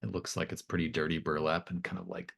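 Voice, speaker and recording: Low-voiced male game master, halting delivery. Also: flat delivery, gravelly, fairly bright tone, breathy edge. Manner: hesitant, halting phrasing, thinking aloud. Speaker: game master running a tabletop horror RPG. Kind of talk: improvised game narration.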